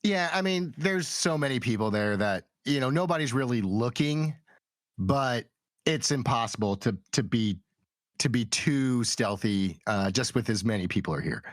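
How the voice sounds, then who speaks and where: A man's voice: medium pitch, lively intonation, moderate pace, dry recording, studio quality. A man acting as game master, narrating and refereeing a tabletop role-playing game.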